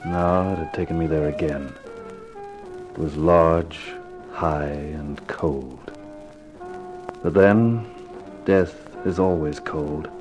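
Deep-voiced, terse hard-boiled private eye. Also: male voice, takes it slowly, long pauses, music in the background. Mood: brooding, weary. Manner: terse, poker-faced, sardonic. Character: tough, guarded hard-boiled private eye, narrating his case. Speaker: actor playing detective and narrator. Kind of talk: 1950s radio crime drama acting. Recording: noisy old 1950s radio recording.

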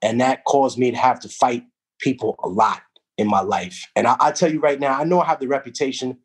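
Husky-voiced man from Peru, storytelling. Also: medium pitch, fairly quick. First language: Spanish. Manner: reflective storytelling, street slang, animated asides. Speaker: guest. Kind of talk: casual podcast conversation.

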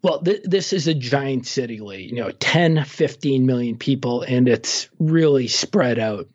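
A man speaks at a middle pitch in a relaxed, informative way, pausing on fillers as he explains. The recording is slightly dull and muffled, like remote audio. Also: breathy edge. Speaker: travel guest expert. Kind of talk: casual travel advice conversation.

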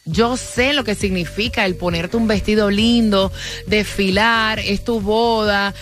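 A woman's voice: medium pitch, fairly even intonation, unhurried. A woman hosting a morning radio call-in show, chatting exuberantly and affectionately with callers. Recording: radio studio with music bed. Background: music.

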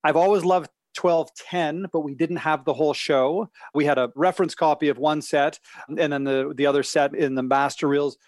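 Male interview guest, archivist type, thinks aloud through fillers, a bit higher voice, dry recording. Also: steady tone, moderate pace, dry recording. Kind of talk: casual interview talk.

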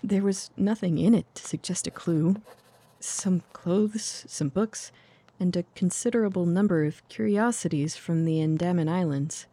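A woman's voice: fairly low pitch, normal speed, warm tone. A woman playing a composed but anxious young Victorian lady in an audio drama, speaking formally and earnestly. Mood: composed, factual.

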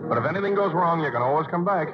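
A man's voice: on the high side, animated, rapid-fire, soft background music, natural voice. An actor playing a breezy, cocky male vaudeville dancer in a 1940s radio play. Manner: breezy, wisecracking. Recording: muffled old radio broadcast.

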